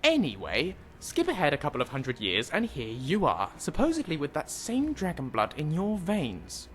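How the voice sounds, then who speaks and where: A man voicing an eager, skeptical scholar in a fantasy game, explaining things in an animated, didactic way. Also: fairly high pitch, normal speed, slight background noise. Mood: lecturing, wry. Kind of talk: fantasy video game dialogue.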